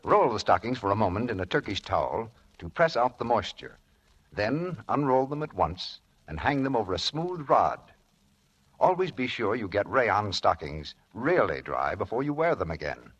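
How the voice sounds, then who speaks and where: A male announcer delivers a polished 1940s radio sales pitch. His voice is somewhat low and husky, with lively inflection and instructive phrasing, on a muffled old broadcast recording. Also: normal speed. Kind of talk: 1940s scripted radio commercial.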